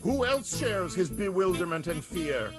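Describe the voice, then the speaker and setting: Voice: mid-range voice, very animated, measured pace. An announcer narrating an improvised radio serial in a grandiose, dramatic, theatrical way. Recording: music behind the voice.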